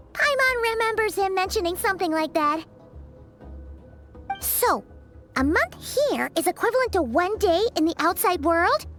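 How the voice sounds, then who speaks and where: A very high, sing-song little fairy girl, bouncing all over her pitch as she chatters excitedly about herself in the third person. She draws out her words, and soft game music plays behind her. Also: full character voice. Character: tiny chirpy female fairy companion, cheeky. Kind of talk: video game voice acting.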